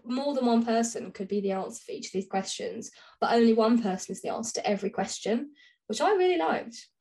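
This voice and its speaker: A woman with a fairly high voice speaks as a podcast co-host. She chats casually about books, explaining things in an informal, rambling, conversational way. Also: animated, brisk, darkish tone. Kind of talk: casual book discussion chat.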